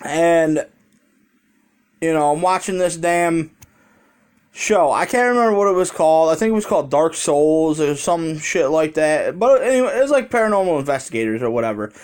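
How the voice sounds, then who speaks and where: A man's voice: a little high, lively intonation, normal speed, a little mellow, clear, resonant tone. An American man talking in a rambling, conversational way with casual swearing, telling a story as a vlogger into a handheld phone.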